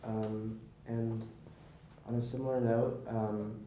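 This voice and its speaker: An American man conducts a conversational interview in a lowish, mellow voice. He is encouraging and affirms what he hears. The sound is roomy and echoing, with faint noise behind him. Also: level tone, takes it slowly. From California.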